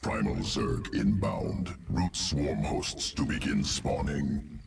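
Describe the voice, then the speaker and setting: A cold, obsessive insectile alien in a video game, extremely deep and rasping. It doles out clipped, clinical fragments slowly, with an echo around the voice. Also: male voice, big pitch swings, a little hiss or hum, heavily acted. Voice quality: low gravelly rasp. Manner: clipped telegraphic phrases, detached. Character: cold, clinical alien gene-engineering creature, fussy and obsessive. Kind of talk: video game character acting. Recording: echoing, slightly distant, faint music.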